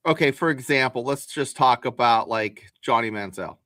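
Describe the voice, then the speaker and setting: A man's voice: mid-range voice, animated, moderate pace, sharp tone, dry recording, studio quality. A man co-hosting a sports podcast, explaining his takes in a folksy, casual way. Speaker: podcast co-host.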